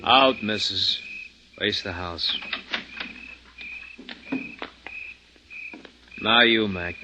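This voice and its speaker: A man's voice: lowish voice, slow, over music. Tough, menacing radio-drama gunman. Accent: American. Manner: tough, slangy, clipped orders. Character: menacing male gunman, mean and threatening. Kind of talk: 1950s radio drama acting. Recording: noisy 1950s radio recording.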